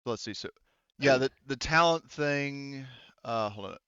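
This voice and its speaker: Clear-voiced man running a tabletop game. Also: medium pitch, a little slow, fairly bright tone, dry recording, muffled recording. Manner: explanatory, thinking aloud. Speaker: game master. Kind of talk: casual tabletop game moderating.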